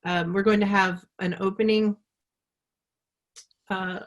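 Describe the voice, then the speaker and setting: A woman's voice: mid-range voice, little pitch movement, takes it slowly. A woman hosting a live webinar over a video call, talking with many fillers and restarts. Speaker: webinar host.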